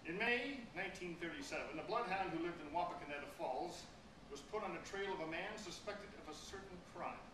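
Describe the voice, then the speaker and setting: A man's voice: sits high, fairly quick. A man giving a dramatic reading of a humorous fable at a public event. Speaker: story reader. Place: public reading before an audience. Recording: echoey room, distant, clear background noise.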